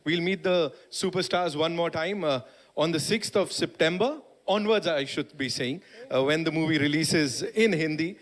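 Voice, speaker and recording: A man's voice: on the high side, moderate pace. A man hosting a press conference, wrapping up in an upbeat, formal way. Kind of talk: event hosting. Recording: reverberant hall.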